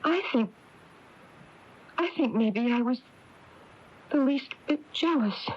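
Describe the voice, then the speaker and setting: Anxious, flustered small-town wife, muffled radio. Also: female voice, sits high, lively intonation, heavily acted. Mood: flustered, confiding. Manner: halting, breathless, flustered delivery. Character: anxious, fretful small-town married woman, rambling and confiding. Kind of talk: 1980s radio drama acting. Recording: dull lo-fi AM radio tape.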